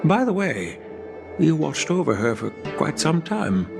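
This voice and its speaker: A man playing a dignified, caring elderly grandfather in a video game, speaking in a measured, solemn, formal way. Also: a little high, very animated, gravelly, full character voice. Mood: gentle. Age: older. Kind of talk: scripted game dialogue. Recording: background music under the voice.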